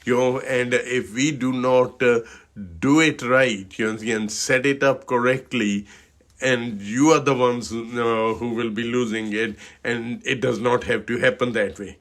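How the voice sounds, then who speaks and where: Indian-accented male financial advisor, middle-aged, hesitant webinar delivery with constant fillers, mid-pitched voice. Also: fairly even intonation, fairly bright tone. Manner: halting, many fillers and "you know, okay". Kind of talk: webinar presentation.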